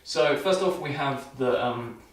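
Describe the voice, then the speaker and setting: A man's voice: on the high side, measured pace. A young man vlogging casually while shaving, talking loosely with lots of restarts and dry sarcasm. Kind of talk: casual rambling film review. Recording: echoey room, mic far off.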